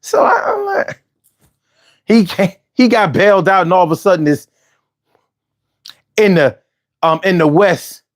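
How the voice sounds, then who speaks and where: A man's voice: high voice, animated, unhurried, pauses often. A man in his forties from Philadelphia with a Philly accent, hosting a casual, argumentative monologue full of slang and restarts. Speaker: host.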